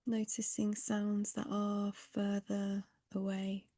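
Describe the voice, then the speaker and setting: A woman leading a guided mindfulness meditation in a gentle, unhurried, breathy voice with long pauses, some phrases fading to a near-whisper. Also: medium pitch, completely flat delivery, no echo, studio quality.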